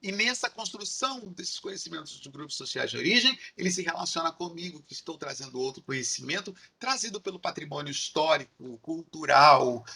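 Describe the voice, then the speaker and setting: Carioca male lecturer, bright and husky, explanatory, repetitive lists, tag questions. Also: fairly high pitch, lively intonation, a little fast, few pauses. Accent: Carioca Portuguese. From Rio de Janeiro, Brazil. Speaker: university lecturer. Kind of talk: recorded online lecture.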